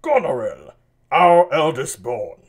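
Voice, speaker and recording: A weary old king who declaims his lines in a stately manner, as a man puts him on. The voice rises and falls broadly, and the delivery is slow and deliberate. It sounds like a home reading of a stage play. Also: on the high side, sing-song, gravelly, slightly rough, heavily acted. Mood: regal. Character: aging male king, grand and weary.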